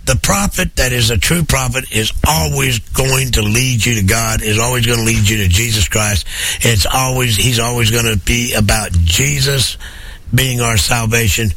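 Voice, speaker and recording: A man preaching fervently on a program while hoarse with a head cold, building his points through emphatic, rising repetition. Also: mid-range voice, normal speed, fairly bright tone, faint music behind, rough recording. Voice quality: husky.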